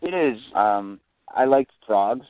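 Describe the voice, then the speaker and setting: A man's voice: fairly low pitch, expressive, takes it slowly. A man calling in to a radio show, chatting casually and earnestly, often stammering and repeating words. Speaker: caller. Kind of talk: casual phone-in chat. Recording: band-limited tinny phone line.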